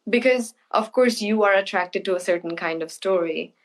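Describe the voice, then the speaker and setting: A woman author being interviewed, talking casually and thoughtfully, often restarting her sentences. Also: mid-range voice. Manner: thoughtful, halting with restarts and soft laughs.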